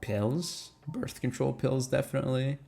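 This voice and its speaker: A man whose first language is Tagalog talks in a medium-pitched voice. He is answering fan questions in a casual, chatty way. His manner is candid and playful, and he swears freely. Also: measured pace, vocal fry, mellow. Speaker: ASMR audio creator answering fan questions. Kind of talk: casual Q&A chat.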